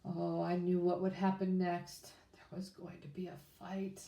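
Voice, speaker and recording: Slow-reading woman storyteller in echoey room. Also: lowish voice, creaky, a little hiss or hum. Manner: expressive, with informal asides. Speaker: children's book reader. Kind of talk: read-aloud storybook narration.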